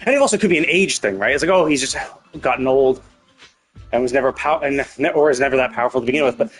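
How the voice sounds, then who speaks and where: A man's voice: medium pitch, fast. A man streaming a story game, thinking aloud in a casual, rambling, sarcastic way and swearing freely. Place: indoors, livestream setup. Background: music.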